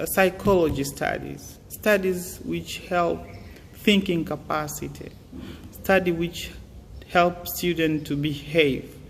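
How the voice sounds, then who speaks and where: Young man, earnest and halting; echoing room, faint hiss. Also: on the high side, darkish tone. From Tanzania. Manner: earnest, halting, many fillers and restarts. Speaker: student giving advice. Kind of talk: casual motivational talk.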